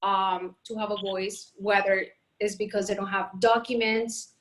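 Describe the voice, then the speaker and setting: A woman's voice: medium pitch, level tone, a little slow. A woman reporter from Colombia, raised in Miami, talking in a friendly, chatty way over a phone on a video call. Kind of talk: casual talk.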